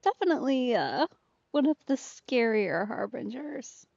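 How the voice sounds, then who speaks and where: A woman plays a game and comments casually, thinking aloud in an unhurried, halting way. Her fairly high voice is dry and slightly dull in the recording, with faint music behind. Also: sing-song, gravelly, a little mellow, dry recording. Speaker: let's play streamer.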